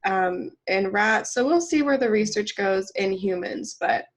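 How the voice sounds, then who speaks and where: A woman co-hosts a casual nutrition podcast while stuffed up from a cold, her voice congested. She chats loosely with plenty of fillers and quick laughs, sitting at a middling pitch. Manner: chatty, laughs often, many fillers. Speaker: podcast co-host.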